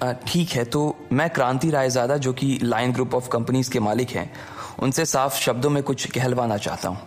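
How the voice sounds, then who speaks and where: Man acting a calm, reassuring young hero. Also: medium pitch, moderate pace, lightly acted. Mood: polite, resolute. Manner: reassuring, polite. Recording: background music under the voice.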